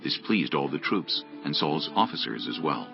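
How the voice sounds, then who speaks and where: Very deep gravelly male scripture narrator. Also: animated, moderate pace, dull audio, music in the background. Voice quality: gravelly, husky.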